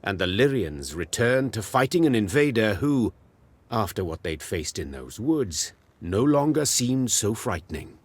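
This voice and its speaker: A man's voice: on the low side, very animated, measured pace, breathy edge. A man narrating a fantasy game story as a scripted storyteller.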